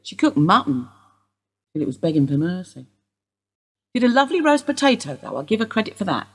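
An actress playing a sharp-tongued, bitter woman in her sixties, wry and sardonic in a radio play. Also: medium pitch, big pitch swings, brisk, husky.

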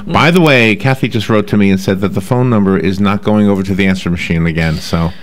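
A man with a New York accent hosting a casual radio talk show from a studio desk microphone, chatty and quick to laugh. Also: on the low side.

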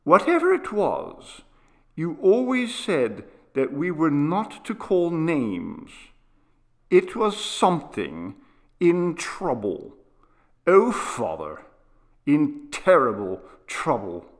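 A man's voice: on the high side, wildly swooping pitch, takes it slowly, pitched above the performer's own voice, full character voice. A man voicing a frightened, sickly young boy who pleads tearfully with his father. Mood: pleading, tearful. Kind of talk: audiobook character voice.

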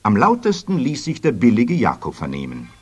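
A man narrating a children's story in an expressive, scripted storytelling manner. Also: mid-range voice, moderate pace, slightly rough, dull audio, soft background music.

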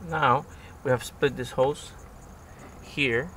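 A man's voice: medium pitch, fairly even intonation, slow pace, a bit bright, slight background noise. A man giving a casual step-by-step how-to on his boat outdoors, talking plainly with fillers. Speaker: DIY boat video host.

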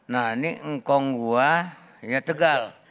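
A man's voice: mid-range voice, slow, fairly bright tone, smooth. A middle-aged man with a Jakarta Indonesian accent, bantering casually as a comedy show judge in a noisy studio. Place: judges' desk in large TV studio.

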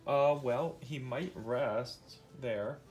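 A man's voice: medium pitch, very slow, darkish tone, soft background music. A middle-aged man, a father patiently reading a nonfiction picture book aloud and explaining it as he goes. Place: indoors on a couch at home.

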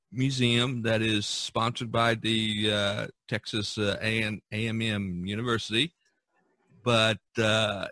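A man chatting casually as a podcast co-host, haltingly searching for his words. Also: on the low side, sharp tone, no echo. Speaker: podcast co-host, representational artist.

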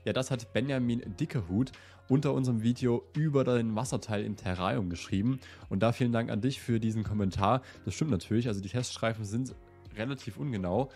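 A man in his twenties with a slight cold, hosting a relaxed question-and-answer vlog in a chatty, easygoing way. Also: mid-range voice, brisk, faint music behind. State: slightly ill. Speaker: host. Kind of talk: casual Q&A vlog. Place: indoor room with plant wall and lit shelves.

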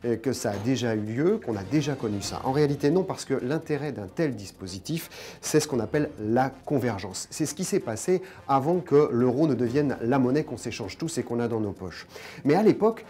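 A man's voice: mid-range voice, lively intonation, fairly quick, dark tone. A man in his forties, an economics journalist giving an emphatic, step-by-step explanation to camera on a lapel mic. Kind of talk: explanatory news commentary to camera.

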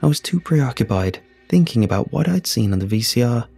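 A man narrating a scripted first-person horror story in a measured, solemn, husky voice. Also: medium pitch, sing-song, mellow. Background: music.

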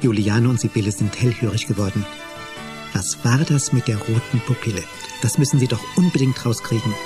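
An actor narrating a children's mystery radio play in a scripted storytelling style. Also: male voice, medium pitch, fairly quick, music in the background, lightly acted. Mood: suspenseful narration.

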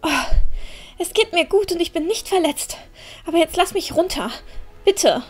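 High-voiced headstrong young village heroine acted by a woman. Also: lively intonation, normal speed, darkish tone, soft background music. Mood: flustered, indignant. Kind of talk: fan audio drama acting.

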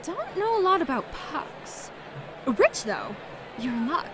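Woman playing young female street vendor, pushy, sassy, visual novel character, swooping high-ish pitch, drawn-out emphasis, noisy ambience. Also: wildly swooping pitch, full character voice. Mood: coy, fond. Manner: emphatic, drawn-out, theatrical delivery. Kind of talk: acted visual novel dialogue. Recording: clearly noisy background ambience.

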